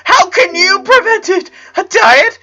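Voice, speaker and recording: A man voicing an anxious, highly emotional young boy in a dramatic story reading. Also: animated, measured pace, bright, forceful tone, heavily acted. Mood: frantic, distraught. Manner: overwrought, pleading outbursts.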